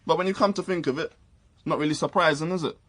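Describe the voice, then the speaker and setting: A man's voice: fairly high pitch, fast, fairly bright tone. A male actor playing a cocky, defensive young British footballer with streetwise slang in a radio drama. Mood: indignant. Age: young adult. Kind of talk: naturalistic radio drama acting.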